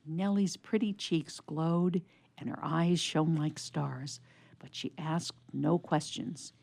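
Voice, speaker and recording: A woman's voice: deep voice, fairly even intonation, slow, gravelly, darkish tone, no room sound. A woman narrating a scripted story for radio theatre in a storybook style.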